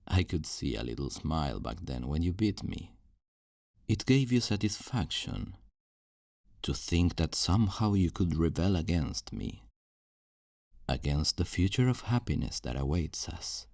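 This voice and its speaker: A man's voice: low voice, big pitch swings, a little slow, creaky, a little mellow, dry recording, studio quality, exaggerated voice. A man playing a possessive, self-righteous kidnapper in an ASMR roleplay, speaking in a condescending, coaxing, menacingly tender way. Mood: bitter reproach. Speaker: audio roleplay performer.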